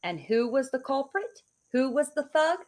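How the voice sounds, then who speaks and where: A woman in her forties reads a rhyming picture book aloud for children, her voice fairly high and swinging up and down with every line. She takes it slow and sing-song, recorded close on a webcam in her living room. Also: sing-song, a little mellow. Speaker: teacher reading aloud.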